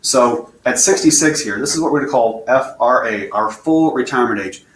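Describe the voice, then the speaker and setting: A man's voice: mid-range voice, moderate pace. A man, a financial advisor, explaining retirement rules step by step in a plain, repetitive way while answering viewer questions. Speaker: financial advisor presenting a webinar. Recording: roomy echo, faint room noise.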